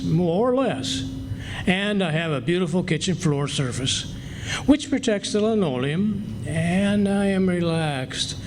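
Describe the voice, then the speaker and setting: A man playing a blustering, gullible, grumbling husband in an old-time radio comedy. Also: sits high. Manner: grumbling, excitable, boastful. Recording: noisy live stage recording.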